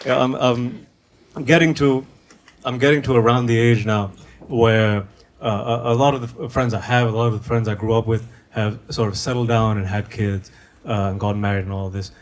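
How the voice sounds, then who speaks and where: A man from Sri Lanka doing conversational stand-up comedy in a hesitant, deadpan way on a stage microphone at a live venue. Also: medium pitch, normal speed. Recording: noisy live show recording.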